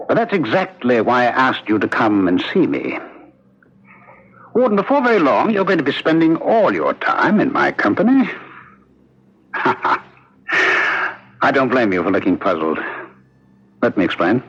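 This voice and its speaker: Posh-talking murderer professor, very theatrical, swoopy pitch, old muffled radio play sound. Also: male voice, big pitch swings, measured pace, long pauses, fairly bright tone, breathy edge, heavily acted. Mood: urbane, eager. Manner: theatrical, polished, emphatic. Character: cultured, talkative condemned murderer, former parapsychology professor. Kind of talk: 1970s radio drama acting. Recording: dull lo-fi old radio broadcast.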